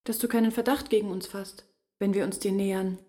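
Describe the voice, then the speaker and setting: An actress playing a guarded, earnest village woman in a literary radio play, measured and intimate. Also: mid-range voice, steady tone, warm tone, lightly acted. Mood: grave, confiding.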